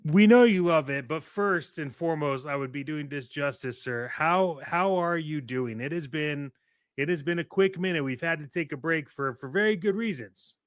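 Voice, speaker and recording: A man's voice: a little high, normal speed, no echo, studio quality. A man hosting a podcast in a casual, chatty style with a playful showman's manner. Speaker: podcast host. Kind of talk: casual podcast chat with sponsor reads.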